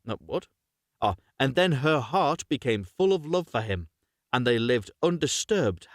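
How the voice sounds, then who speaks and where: Guy reading storybook tales live, really lively pitch, dry close sound, chatty asides. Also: dry recording. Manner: expressive storytelling, often corrects himself mid-sentence. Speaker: host reading stories aloud on a livestream.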